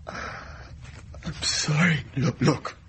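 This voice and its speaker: A man's voice: a little high, extremely slow, a bit bright, a little hiss or hum. An actor playing a terrified male captive in a radio horror play, pleading desperately and breathlessly. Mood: panicked pleading. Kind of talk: radio horror drama acting.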